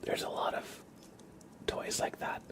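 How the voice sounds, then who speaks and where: A man hosting an ASMR livestream, chatting gently and haltingly to viewers in a soft, whispery murmur. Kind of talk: ASMR, casual chat with stream viewers.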